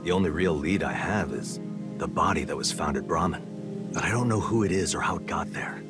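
A laid-back male special agent from a video game, played by an actor, with a low voice. He delivers dry jokes and explanations in the same deadpan way, over prominent music. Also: a little fast, natural voice. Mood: earnest recounting. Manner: deadpan, dryly joking.

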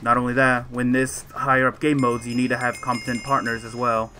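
A man's voice: medium pitch, completely flat delivery, brisk, pushed and bright, lightly acted. A man playing a patient, encouraging mentor who explains things a little formally in a gaming roleplay. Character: patient mentor to young supernatural fighters. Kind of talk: improvised roleplay chat while gaming. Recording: faint music behind the voice.

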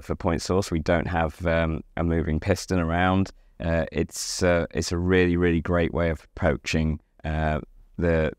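A man's voice: deep voice, no echo, studio quality. A middle-aged man explaining a product in an unscripted interview, hesitant and often repeating words as he goes. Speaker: loudspeaker company spokesman. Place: dim indoor demo room, seated.

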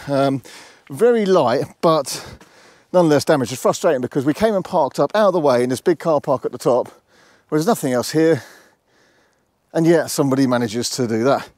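A man's voice: medium pitch, darkish tone, clean studio sound. Bloke in his 40s, vlogging casually on a lav mic, matter of fact, no echo. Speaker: car vlogger. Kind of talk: casual vlog talk to camera. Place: mostly outdoors, car parks and roadside. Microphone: lavalier with furry windshield.

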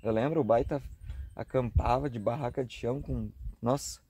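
A man in his thirties from southern Brazil, with a Rio Grande do Sul accent, chatting casually for his camping vlog. He talks in a relaxed way with frequent tag questions, recorded on a camera held at arm's length at an outdoor hilltop campsite. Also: medium pitch, normal speed, a little hiss or hum. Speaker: travel vlogger. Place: outdoor campsite on a grassy hilltop, earlier inside a car at night.

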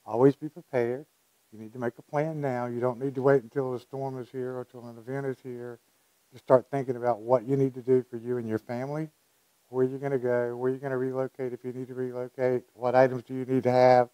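A man's voice: medium pitch, little pitch movement, fairly quick, warm tone, no room sound, rough recording. A man in his sixties, an official answering interview questions into a lapel mic. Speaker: county emergency services director. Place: indoor meeting room. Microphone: lapel microphone.

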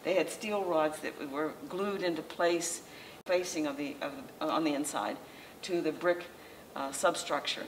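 An older woman talks a small audience through her slides in a low, unhurried voice, stopping often to restart her sentences. She is picked up from a distance by a camera mic. Faint room noise sits under her words. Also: fairly even intonation. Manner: conversational, halting with frequent restarts and fillers. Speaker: local historical society president giving a lecture. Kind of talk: informal slideshow lecture. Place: library meeting room, indoors, small audience. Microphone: far camera, masked speaker.

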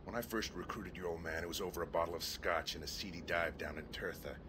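An actor playing a glib, cynical middle-aged American spy handler in a video game, with a folksy, wisecracking manner. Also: male voice, deep voice, fairly even intonation, quick pace, lightly acted. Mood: smug, teasing. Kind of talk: video game cutscene acting. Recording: engine and vehicle noise behind the voice.

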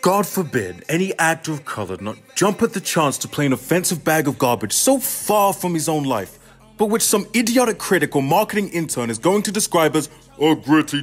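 Exasperated playwright, acted by a man, swinging pitch. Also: big pitch swings, moderate pace, soft background music. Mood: exasperated, ranting. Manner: profane, confessional, emphatic. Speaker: student actor. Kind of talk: theatrical radio play monologue.

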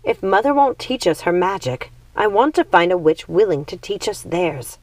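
A woman's voice: fairly low pitch, big pitch swings, normal speed, darkish tone, no room sound. A woman voicing a restless, frustrated young witch daughter in a storybook, earnest and insistent. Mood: earnest pleading.

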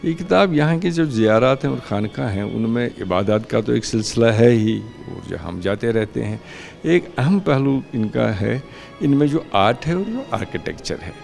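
A man in his sixties with a Kashmiri accent, the book's author, explaining his work at a launch in a measured way to handheld news mics. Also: medium pitch, expressive, creaky, a little mellow, soft background music. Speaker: book author. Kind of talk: news interview. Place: book launch hall, indoors. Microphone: handheld news mics close up.

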